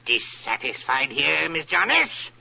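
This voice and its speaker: An actor playing a cantankerous, demanding rich employer in an old-time radio drama, curt and threatening, with a husky, gravelly voice. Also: male voice, fairly high pitch, very animated, unhurried, exaggerated voice. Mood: stern, menacing. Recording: dull vintage radio recording.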